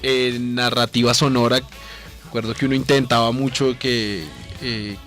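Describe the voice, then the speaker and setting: Man co-hosting, medium-pitched voice. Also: flat delivery, moderate pace, a bit bright, soft background music. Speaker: radio co-host. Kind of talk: casual radio chat. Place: radio station booth.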